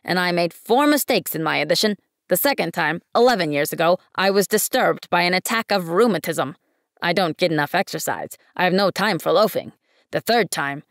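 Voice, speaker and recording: A man's voice: high voice, a bit bright, dry recording, studio quality. Woman doing a pompous, peevish businessman. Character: pompous middle-aged businessman counting stars.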